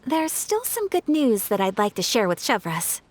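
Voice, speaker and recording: A woman's voice: on the high side, very animated, normal speed. A woman playing a kindly, caring young woman in an anime game. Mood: relieved, friendly.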